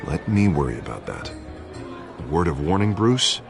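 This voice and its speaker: A man's voice: extremely low pitch, very animated, moderate pace, gravelly, music in the background, a crowd in the background, full character voice. A man playing an earnest, polished and persuasive politician in a video game. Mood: insistent.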